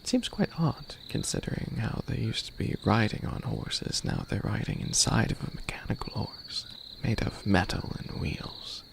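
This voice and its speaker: A man playing a centuries-old skeleton demon lord in an ASMR roleplay, soft-spoken and partly whispering, hesitant and curious, with old-fashioned formal phrasing. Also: lowish voice, animated, normal speed, gravelly, few pauses, background noise. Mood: polite, curious.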